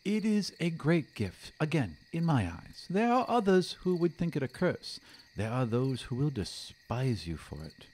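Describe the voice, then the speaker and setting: A man voicing a serene, reverent female envoy of the gods in a tabletop game, speaking in measured, formal proclamations. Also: on the high side, wildly swooping pitch, darkish tone, a little hiss or hum. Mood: formal, kindly. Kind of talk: tabletop roleplay acting.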